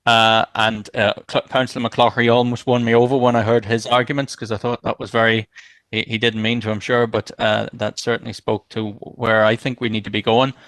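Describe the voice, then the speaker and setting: A man councillor speaks in a council debate. He hesitates and restarts as he thinks aloud. His voice is bright and crisp, and it sounds dry and close. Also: mid-range voice, little pitch movement, brisk, no echo.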